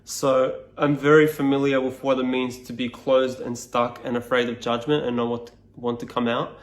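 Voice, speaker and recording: A Serbian-native man talks to camera as a speaking coach, musing aloud with lots of fillers and restarts. His pitch stays fairly level throughout. The room gives his voice a clear echo. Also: little pitch movement, normal speed. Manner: reflective, loose, many fillers and restarts. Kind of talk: casual explainer to camera.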